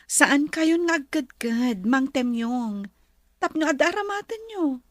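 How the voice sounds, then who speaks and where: High-voiced kind Ilocano madam played by a woman. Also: lively intonation, unhurried, dry recording, studio quality. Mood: kind, insistent. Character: kind, grateful woman of a wealthy household. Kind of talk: earnest drama dialogue.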